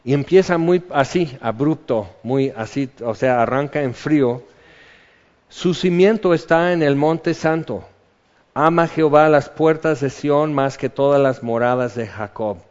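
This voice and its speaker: A man's voice: medium pitch, a little slow, darkish tone. Man preaching in a hall. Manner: conversational, reads psalm verses aloud. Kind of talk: sermon, Bible teaching. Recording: dull reverberant hall recording.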